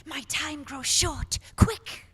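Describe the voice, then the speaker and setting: A woman's voice: high-pitched, takes it slowly. A woman playing a solemn, urgent guiding ghost in a radio play. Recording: distant, echoey hall with loud noise.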